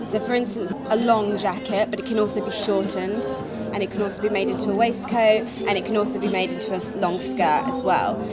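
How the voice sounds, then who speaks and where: A woman fashion designer explaining her label's collection and listing examples. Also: mid-range voice. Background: loud music.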